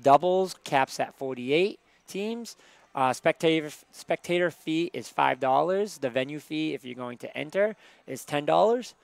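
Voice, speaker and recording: Gravelly-toned man reading event details. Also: a little high, animated, unhurried, no room sound. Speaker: livestream host. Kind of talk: casual livestream announcement.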